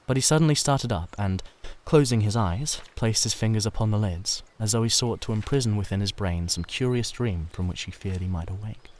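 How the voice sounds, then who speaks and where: A man's voice: on the low side, a little fast, few pauses, a little mellow, slight background noise. A man reading a classic novel aloud as a dramatised narration. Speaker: amateur book reader.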